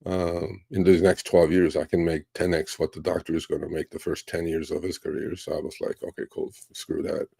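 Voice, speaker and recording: A man in his fifties from Turkey, hosting a livestream Q&A and telling stories in a relaxed, rambling way with many fillers. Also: deep voice, a little fast, gravelly, hardly any pauses, husky, no room sound. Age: 50s. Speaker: livestream host. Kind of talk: casual livestream Q&A.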